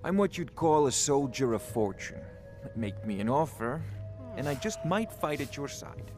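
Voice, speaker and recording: A man's voice: medium pitch, sing-song, normal speed, darkish tone, faint music behind, natural voice. A man playing a confident sellsword, a soldier of fortune, in fantasy video game dialogue.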